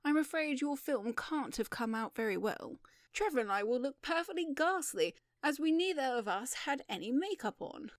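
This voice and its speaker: Woman as a belligerent, flippant gentleman gambler. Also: medium pitch, big pitch swings, moderate pace, few pauses, dry recording, studio quality. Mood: breezy protest.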